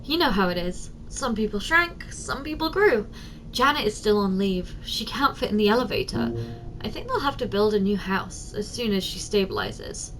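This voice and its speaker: A woman playing a giant, doting, teasing office secretary in an ASMR roleplay, speaking softly and coaxingly straight to the listener. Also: medium pitch, sing-song, normal speed, a little hiss or hum, rough recording. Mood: playful, curious. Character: giant, doting, teasing female office secretary, tender toward tiny boss.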